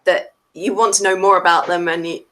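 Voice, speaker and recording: A woman co-hosting a casual book discussion, explaining her points in a measured way. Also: fairly low pitch, flat delivery, fairly bright tone.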